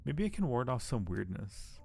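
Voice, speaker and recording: A man's voice: mid-range voice, sing-song, moderate pace, creaky, dark tone, slightly rough. A man hosting a casual game livestream, reading story text aloud and chatting between murmured asides. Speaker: livestream host. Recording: music behind the voice. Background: music.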